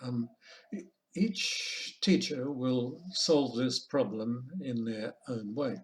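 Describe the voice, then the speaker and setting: Slow-paced Australian man giving a lecture. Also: medium pitch. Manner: measured, deliberate, halting with pauses. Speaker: language teacher giving a conference presentation. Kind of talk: informal online lecture. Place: online video call presentation.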